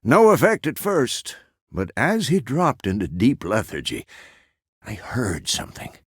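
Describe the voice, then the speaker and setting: A man playing a gruff, weathered old monster-hunter mentor in a video game, terse and dry, with a gravelly voice. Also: medium pitch, huge pitch swings, a little slow, no room sound, full character voice. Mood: dry, measured. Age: older. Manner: terse, clipped phrases, dry. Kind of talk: scripted video game character dialogue.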